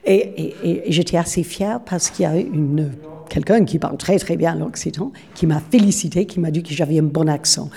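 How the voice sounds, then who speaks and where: Woman, city official, eager and a bit rambly, husky mellow voice. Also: low-pitched, moderate pace. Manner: warm, enthusiastic, repeats words as she searches. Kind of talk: interview at a public event.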